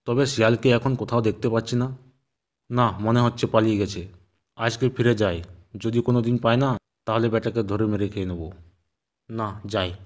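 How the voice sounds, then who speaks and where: A man's voice: on the low side, close to an everyday voice. A man voicing big, bullying predators such as a tiger and a lion in a children's cartoon, with a blustering manner. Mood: angry, threatening. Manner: blustering, threatening, boastful. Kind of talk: children's cartoon character dialogue. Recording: loud music under the voice.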